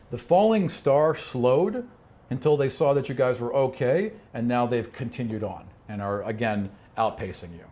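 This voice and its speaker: A man's voice: mid-range voice, warm tone. A man with a mid-range voice runs a tabletop role-playing game as game master. He slips between read-out scene description and loose, conversational improvising, repeating phrases as he builds the scene. There is some noise behind him.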